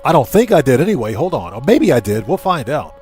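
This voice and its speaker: A man's voice: medium pitch, big pitch swings, fast talker, a little mellow, faint music behind. A man hosting a livestream, chattily thinking aloud as he gives casual video game commentary and talks to viewers. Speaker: livestream host.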